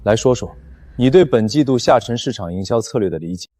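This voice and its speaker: A man playing a cold, commanding company director in an animated drama, speaking formally with cutting, dry mockery. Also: mid-range voice, fairly quick, close to an everyday voice. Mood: cool, testing. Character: cold, commanding, dryly mocking male boss.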